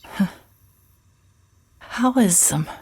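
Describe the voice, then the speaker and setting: Hushed, husky, hesitant woman. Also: mid-range voice, lively intonation, exaggerated voice. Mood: nervous, subdued. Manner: hesitant, subdued, halting. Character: weary, guarded, apologetic woman, quietly grieving. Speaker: actor in an audio drama. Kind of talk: audio drama acting.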